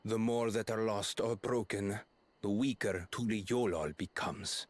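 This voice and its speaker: A man voicing a grave, calculating prince in a fantasy game, speaking in measured, formal pronouncements. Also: fairly low pitch, fairly even intonation, natural voice. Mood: cool, solemn. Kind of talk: fantasy video game acting.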